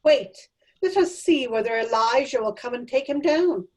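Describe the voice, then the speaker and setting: A woman's voice: fairly high pitch, animated, normal speed, darkish tone, distant mic. A woman playing a mocking, curious bystander in a dramatic scripture reading. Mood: taunting.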